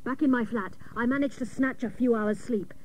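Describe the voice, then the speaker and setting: An actress playing a hapless, literal-minded female private detective, narrating a comic radio serial with mock-dramatic seriousness and deadpan jokes. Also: fairly high pitch, moderate pace, lightly acted. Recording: noisy old cassette of radio broadcast.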